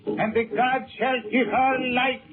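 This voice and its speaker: An actor playing a solemn, menacing native guard who declaims ominously in a 1930s radio serial. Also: male voice, very high voice, level tone, unhurried. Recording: muffled 1930s radio recording.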